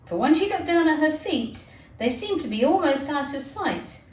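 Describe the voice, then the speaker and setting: A woman reading a children's story aloud in an animated, deliberate, theatrical way. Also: sits high. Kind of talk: expressive children's storybook narration. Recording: roomy, distant microphone.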